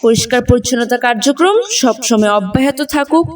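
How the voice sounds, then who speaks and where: A woman's voice: a little high, brisk, distant mic, natural voice. A woman playing a formal female television news reporter, reading a scripted report in a declarative way for a cartoon.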